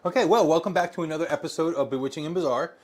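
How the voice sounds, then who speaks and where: A man's voice: on the high side, very fast, darkish tone, slightly rough. Rambling, chatty man hosting a live stream. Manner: chatty, rambling, with frequent fillers and restarts. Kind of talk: casual live-stream chat.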